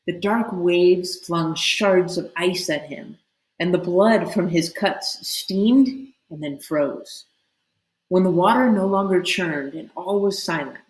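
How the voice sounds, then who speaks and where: A woman reading a story aloud as an expressive narrator, with deliberate, dramatic emphasis. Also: on the low side. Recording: echoey room.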